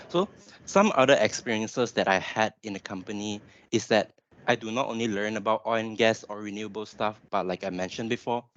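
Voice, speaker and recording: A man's voice: on the low side, a bit bright, highs cut off, a little hiss or hum. A man in his twenties with a Malaysian accent, giving a webinar presentation in an informal explanatory way. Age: 20s. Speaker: graduate engineer presenter.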